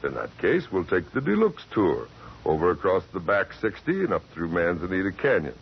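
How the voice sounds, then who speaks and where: An actor playing a friendly, folksy ranch hand tending bar, easygoing and courteous, in 1940s radio acting. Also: male voice, low voice, huge pitch swings, a little fast, gravelly, breathy edge, heavily acted. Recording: dull old radio recording with hiss.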